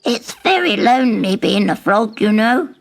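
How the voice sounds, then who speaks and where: A woman voicing a lonely, persistent bullfrog in a children's story, with a croaky, plaintive delivery. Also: medium pitch, sing-song, moderate pace. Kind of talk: storybook character voice.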